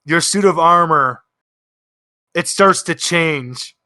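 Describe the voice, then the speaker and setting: A man's voice: on the high side, slow pace, sharp tone, no echo, clean studio sound. A man acting as game master, casually narrating a tabletop game.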